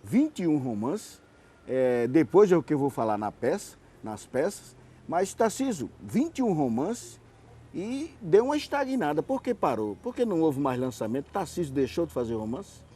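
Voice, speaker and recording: A man's voice: on the high side, very animated, moderate pace, warm tone, breathy edge. A man with a Brazilian accent hosting an interview, asking and commenting casually. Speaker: interviewer, TV host.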